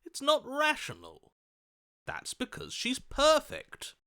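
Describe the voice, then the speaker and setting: A man's voice: very high-pitched, wildly swooping pitch, slow pace, bright, forceful tone, heavily acted. A man voicing a lovestruck, melodramatic romantic hero in a video game, speaking in a theatrical, florid, overwrought manner. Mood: smitten, pleading. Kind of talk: video game character voice acting.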